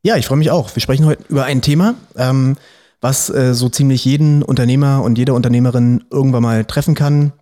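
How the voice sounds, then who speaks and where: Mellow-voiced man hosting a law podcast. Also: medium pitch, fairly quick. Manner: relaxed, friendly questioning with fillers. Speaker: podcast host, lawyer. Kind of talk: casual interview.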